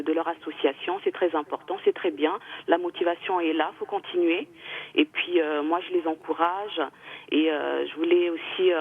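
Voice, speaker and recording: A husky-voiced woman in her thirties speaks down a telephone line, her pitch sitting a little low. She talks earnestly and hesitantly, stopping often to gather her words. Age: 30s. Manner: earnest, hesitant with many pauses. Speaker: caller. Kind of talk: radio call-in comment. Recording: phone line.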